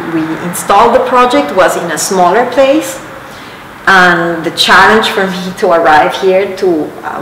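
A middle-aged woman with a Colombian Spanish accent reflects in an interview in a fairly low voice. She phrases things thoughtfully and haltingly. The recording is echoey and a little distant, with clear background noise. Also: a bit bright. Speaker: artist being interviewed. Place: large high-ceilinged church exhibition space, indoors.

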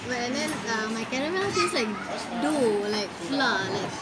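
A woman's voice: fairly high pitch, expressive, moderate pace. A young woman from Malaysia chatting casually on a phone camera in a mall café, giggling and mixing in Malay words. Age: young adult. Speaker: vlogger. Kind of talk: casual food review chat. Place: busy mall café, indoors. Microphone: handheld phone camera. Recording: loud crowd noise behind.

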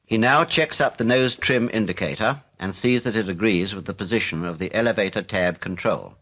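A British man narrating a 1940s military instructional film in a formal, measured, matter-of-fact way. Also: lowish voice, fairly bright tone, poor audio.

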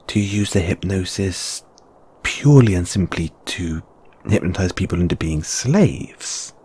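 A man acting a huffy, slow-talking lamia flatmate. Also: low-pitched, husky, exaggerated voice. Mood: explaining, disapproving. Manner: huffy, halting, sighing, defensive. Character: huffy, flustered male lamia flatmate, snake monster boy. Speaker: audio roleplay performer. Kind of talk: intimate one-sided audio roleplay acting.

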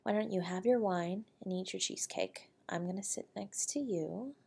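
A woman's voice: medium pitch, moderate pace, vocal fry, dark tone, slightly rough. A woman playing a tender, protective wife in an intimate audio roleplay, soothing and affectionate as she comforts her husband. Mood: soothing, caring.

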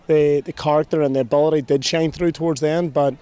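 A man in his thirties, a head coach, giving a measured post-game interview outdoors at a stadium at night into a handheld mic. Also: fairly high pitch, fairly even intonation, warm tone, smooth. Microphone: handheld mic held below chin.

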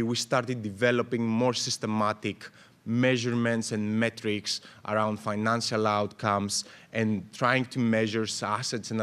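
A man in his thirties from Greece, giving panel remarks at a conference, speaking with lots of fillers and restarts into a table microphone. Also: medium pitch. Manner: animated, gestures a lot, many fillers and restarts. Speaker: panel moderator. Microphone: table mic on stand.

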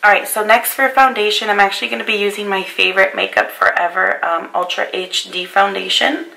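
A woman in her twenties with a hoarse, raspy voice from a cold, chatting casually through a makeup review at her vanity. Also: mid-range voice, steady tone, a little fast, sharp tone, low-quality recording. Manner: chatty, apologetic about her voice. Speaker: beauty vlogger. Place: bedroom vanity, indoors.

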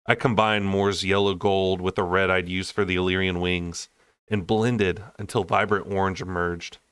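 A young man reads a story aloud. His voice sits a little low. He narrates expressively in audiobook style, and the recording is dry. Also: flat delivery, normal speed, fairly bright tone, clean tone, dry recording. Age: young adult. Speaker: storyteller reading a novel aloud.

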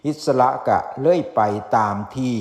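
A man with a northeastern Thai Isan accent narrating a folk tale in a folksy way, speaking straight to his listeners. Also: unhurried. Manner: folksy, addressing listeners directly. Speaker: storyteller. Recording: echoey reverberant sound with music behind. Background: music.

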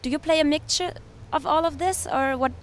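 Young woman reporter, high voice. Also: fairly quick, a little hiss or hum. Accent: German. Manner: chatty, laughs easily. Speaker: festival TV reporter. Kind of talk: festival interview. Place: outdoor deck of a cruise ship. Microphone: handheld mic.

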